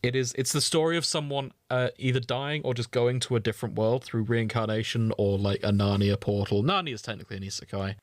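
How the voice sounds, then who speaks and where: A man's voice: mid-range voice, steady tone, fast, few pauses, dry recording, studio quality. A man co-hosting a podcast with casual, sweary comedic banter and mock exasperation, often reading things out. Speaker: podcast co-host.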